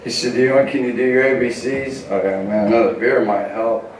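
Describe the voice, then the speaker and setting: A man's voice: medium pitch, little pitch movement, brisk, a little hiss or hum. Rambling male comic, very echoey stage. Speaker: comedian guest on a live show. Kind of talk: comic storytelling, casual and profane. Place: comedy club stage before an audience. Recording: echoey live club stage, distant mic.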